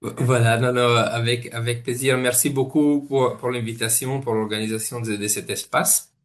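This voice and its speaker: A man's voice: medium pitch, fairly even intonation, moderate pace. A man with an Italian accent, a native Italian speaker presenting as guest speaker on a video call, often searching for words and restarting phrases. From Italy. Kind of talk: webinar presentation.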